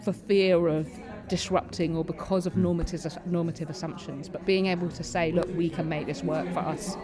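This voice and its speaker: A woman's voice: fairly low pitch, steady tone, normal speed. A woman speaking conversationally as an interview guest, with involuntary vocal tics that can interject stray words. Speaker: panellist and theatre-maker being interviewed. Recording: busy venue with crowd chatter behind. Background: crowd chatter.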